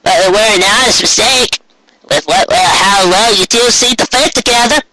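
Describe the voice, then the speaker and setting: A man voicing a feisty, blunt, elderly country granny pony in a strained high falsetto, with folksy rural phrasing, in a storybook reading. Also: normal speed, pushed and bright, low-quality recording. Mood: teasing, friendly. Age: older. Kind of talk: amateur storybook character reading.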